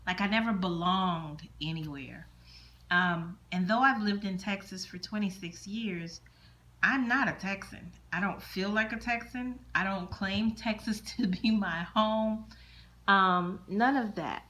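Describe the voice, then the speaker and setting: A woman's voice: medium pitch, unhurried, bright, forceful tone. A middle-aged woman chatting casually to her camera at home, rambling and repeating words as she thinks aloud. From born in Germany, military child. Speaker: vlogger. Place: indoors at home, windows open.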